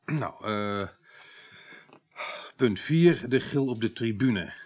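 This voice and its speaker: A commanding police inspector with a lowish, husky voice. His delivery swings widely as he works briskly and impatiently through business in a radio crime play. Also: lively intonation. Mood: methodical. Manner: brisk, orderly, clipped orders. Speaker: actor in a radio crime serial. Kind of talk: 1980s radio drama acting.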